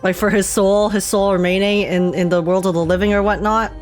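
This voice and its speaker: A woman's voice: mid-range voice, little pitch movement, fairly quick, soft background music. A woman game streamer giving casual live reactions in a giggly, teasing way.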